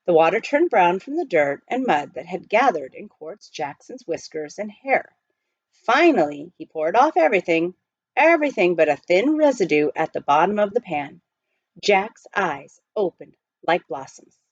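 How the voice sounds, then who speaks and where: A woman reading a children's novel aloud as an expressive storyteller. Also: on the low side, moderate pace, no echo, dull audio. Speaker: storybook reader.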